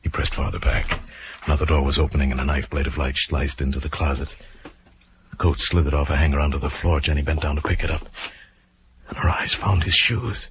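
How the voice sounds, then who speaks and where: A man's voice: a bass voice, steady tone, a little fast, background noise. A man narrating a suspense story in a dramatic, scripted radio style. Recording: muffled vintage radio recording with music. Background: music.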